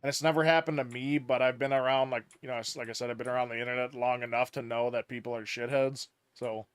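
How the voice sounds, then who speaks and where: A man's voice: mid-range voice, fairly even intonation, fast talker, clean tone, dry recording. A man streaming a game, chatting casually and explaining at length with many restarts, on a headset mic. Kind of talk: casual gaming chat.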